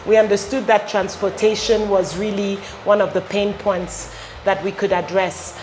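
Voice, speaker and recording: A middle-aged woman from Ghana, a company founder, answering interview questions with many pauses and fillers. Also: medium pitch, little pitch movement, a little mellow, a little hiss or hum, poor audio. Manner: halting, many fillers and restarts. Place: showroom with electric bikes. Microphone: lapel.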